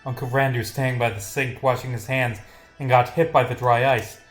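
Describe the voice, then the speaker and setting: A man's voice: mid-range voice, fairly even intonation, a little fast, faint music behind, close to an everyday voice. A man voicing a defensive, evasive young male stage performer from a visual novel, protesting under questioning. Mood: reluctant confession.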